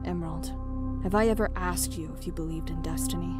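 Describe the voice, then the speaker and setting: A woman playing a thoughtful, philosophical young woman in a fan audio drama, earnest, reflective and measured. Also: on the low side, fairly even intonation, close to an everyday voice. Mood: pensive. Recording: loud music behind.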